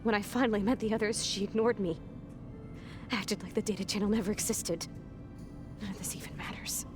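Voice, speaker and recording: A woman voicing a guarded, hurt young woman in a video game, speaking in hushed, halting phrases that turn defensive and raw. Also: medium pitch, steady tone, natural voice. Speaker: game character. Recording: game cutscene with music behind.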